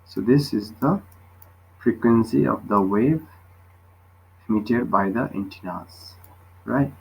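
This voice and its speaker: A man's voice: on the low side, slow, gravelly, a little mellow, slightly rough, low-quality recording. A man tutoring physics, explaining a worked problem step by step and often checking with short questions.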